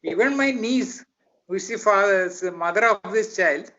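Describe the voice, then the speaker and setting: A man in his sixties with an Indian English accent, answering interview questions over a video call, relaxed and chuckling as he tells stories. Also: sits high, normal speed. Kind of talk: casual video call conversation. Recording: dull lo-fi video call audio.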